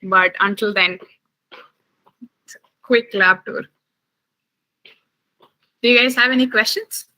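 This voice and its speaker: Young woman science camp instructor from Chennai, friendly questioning tone, bright tone, laptop mic on a call. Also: medium pitch, poor audio. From Chennai, India. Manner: chatty, asks the children many quick questions. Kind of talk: casual lab tour and chat with children over a video call.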